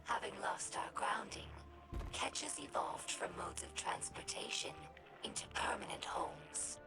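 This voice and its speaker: A woman voicing an earnest, gentle young female alien scribe in a video game, narrating lore in a measured, formal, reflective way with a breathy voice. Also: medium pitch, animated, whispered, loud music behind the voice, lightly acted, low-quality recording. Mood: fond, reflective. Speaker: scribe recording her house's history.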